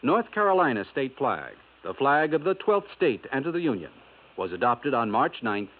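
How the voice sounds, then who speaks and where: A male announcer reading an educational radio piece at a measured pace. His pitch moves with lively range. The sound is thin and phone-like, as from an old broadcast. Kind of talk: scripted informational radio segment. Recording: tinny 1950s radio broadcast.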